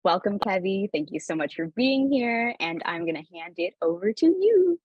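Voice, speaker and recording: Woman host, husky voice, lively intro read, muffled dry call audio. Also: medium pitch, moderate pace, no echo. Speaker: webinar host. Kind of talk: scripted guest introduction. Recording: dry, dull lo-fi video call.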